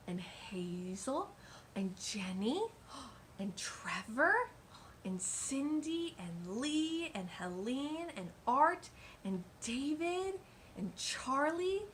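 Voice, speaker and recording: A woman's voice: on the high side, slight background noise, low-quality recording. A woman hosting a children's storytime, reading a picture book aloud with gushing, exclamatory asides.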